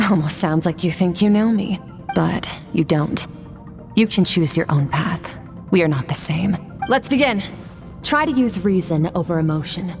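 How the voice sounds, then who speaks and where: Woman acting a cool, low-voiced warrior mentor. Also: natural voice. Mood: cold, dismissive, then focused, commanding. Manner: composed, blunt, measured. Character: cool, stoic woman sword master training a pupil. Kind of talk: video game voice acting.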